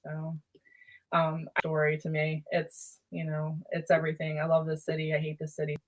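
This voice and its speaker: A woman from Baltimore with a Baltimore accent, chatting as a podcast guest and telling lively stories. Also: low-pitched, normal speed, a little hiss or hum, poor audio. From Baltimore, USA. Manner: lively storyteller who acts out quoted voices. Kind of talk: casual podcast chat.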